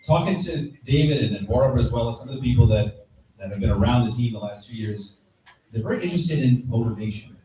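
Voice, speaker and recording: A man with a mellow, soft-edged voice talks on a live sports panel. He is emphatic and likes to repeat phrases for weight. The room is very echoey and he sounds set back from the microphone. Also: mid-range voice. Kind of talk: lively live panel discussion about baseball. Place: live convention panel stage. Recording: very echoey room, distant from mic.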